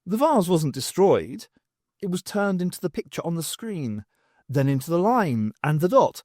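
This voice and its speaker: Narrator playing a pompous, airy man, swooping high. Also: sing-song, moderate pace, darkish tone, dry recording, studio quality, natural voice. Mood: airy, pleased. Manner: airy, affected. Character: pompous, plaintive man showing off his discovery.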